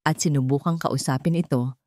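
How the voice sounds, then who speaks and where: Filipino lady reading a dramatic story, low pitch, solemn n measured, really dry close sound. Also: steady tone, warm tone, dry recording. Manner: measured, solemn, melodramatic delivery. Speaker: story narrator. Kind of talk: dramatic scripted narration.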